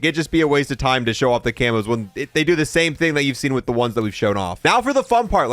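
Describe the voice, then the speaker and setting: A man's voice: mid-range voice, a bit bright. A man hosting a casual video game review, announcing each item and thinking aloud as he tests it. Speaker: gaming video host.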